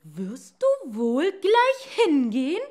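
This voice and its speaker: A woman voicing a furious, domineering fisherman's wife who shouts commands in a fairy tale. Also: high-pitched, huge pitch swings, slow, pitched above the performer's own voice, heavily acted. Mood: furious, demanding.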